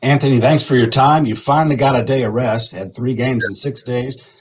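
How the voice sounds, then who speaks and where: A man's voice: mid-range voice, steady tone, a little fast, muffled recording, a little hiss or hum. A man hosting a live booster club interview, talkative and upbeat, introducing and questioning players.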